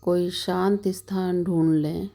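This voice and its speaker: A woman leading a guided yoga nidra meditation as the instructor, giving calm, measured, soothing instructions with long pauses between them. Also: lowish voice, creaky. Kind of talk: slow guided meditation narration.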